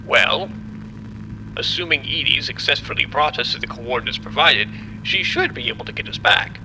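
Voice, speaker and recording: A man playing a formal, measured scientist who explains a disaster in an audio drama. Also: mid-range voice, animated, noisy background, natural voice. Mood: grave, deliberate. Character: apologetic, condescending male scientist explaining a disaster.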